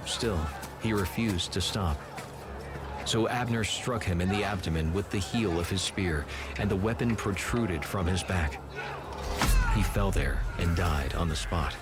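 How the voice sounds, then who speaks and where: A man narrating scripture from a script in a measured, solemn manner. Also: sits low. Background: music.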